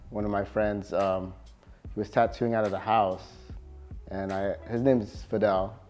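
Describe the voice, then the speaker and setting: A man from California, a tattoo artist, talking casually in an interview with a relaxed, halting manner full of fillers. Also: lowish voice, soft background music.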